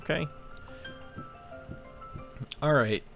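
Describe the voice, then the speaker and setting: A man's voice: on the high side, extremely slow, creaky, fairly bright tone. A man giving casual let's play commentary, thinking aloud hesitantly as he plays. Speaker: game streamer. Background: game music.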